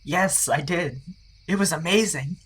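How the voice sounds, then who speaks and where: Man as a husky, bright young tomcat hero. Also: fairly high pitch, measured pace, full character voice. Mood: excited. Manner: earnest, questioning. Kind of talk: fandub audiobook voice acting.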